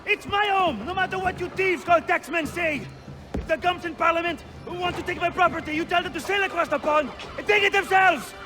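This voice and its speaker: An actor playing a feisty, defiant, hot-blooded brawler with a boastful, colorful way of talking in a video game. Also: male voice, extremely high pitch, brisk, music in the background. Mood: furious, defiant.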